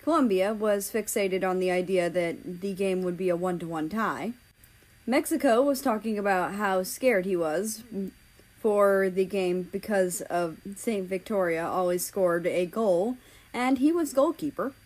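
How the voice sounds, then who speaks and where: A woman's voice: medium pitch, a little slow, darkish tone, low-quality recording. A woman narrating fan fiction in an audiobook style, acting out the dialogue between passages.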